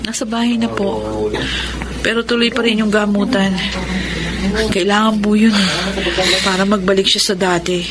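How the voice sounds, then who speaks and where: An actress playing a dutiful, burdened young daughter in a radio drama, respectful and earnest, with a Filipino accent. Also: female voice, mid-range voice, little pitch movement, moderate pace, long pauses, very noisy, lightly acted. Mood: respectful, sorrowful. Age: young adult. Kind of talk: Tagalog radio drama acting.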